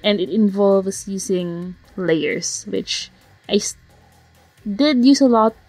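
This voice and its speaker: A slightly hoarse woman casually narrating her digital painting process, rambling with fillers and self-corrections. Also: medium pitch, slow pace, darkish tone, faint music behind, low-quality recording. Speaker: digital artist narrating a speedpaint. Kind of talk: casual voiceover commentary. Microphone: home voiceover mic.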